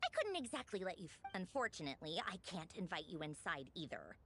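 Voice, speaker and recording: Haughty, lilting high-born lady. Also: very animated, fast talker, a bit bright, a little hiss or hum. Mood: haughty, teasing. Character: poised, haughty, teasing noblewoman of high rank. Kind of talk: video game voice acting.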